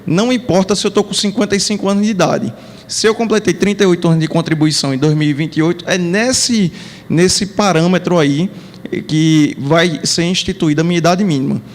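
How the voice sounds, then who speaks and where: Brazilian guy explaining rules in a lecture, fairly high voice, big echoey hall. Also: fairly quick. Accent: Brazilian Portuguese. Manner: explanatory, works through numeric examples. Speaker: panel speaker on pension law. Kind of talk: lecture at a panel. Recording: long hall reverb.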